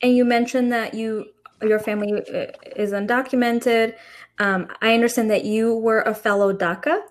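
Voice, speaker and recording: A woman's voice: fairly high pitch, steady tone, normal speed, slight background noise. A woman hosting a casual interview, asking friendly questions with many fillers. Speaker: podcast host.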